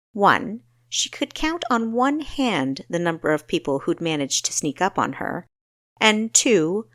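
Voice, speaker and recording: A woman narrating a fantasy story in an expressive, dramatic storytelling style with deliberate emphasis. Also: on the low side, no room sound, studio quality. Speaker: audiobook narrator.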